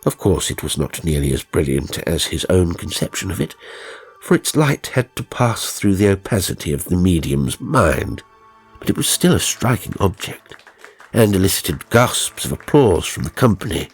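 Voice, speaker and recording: Guy reading a ghost story audiobook, fairly low husky voice, measured dramatic delivery. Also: sing-song, soft background music. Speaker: narrator. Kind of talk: scripted ghost story narration.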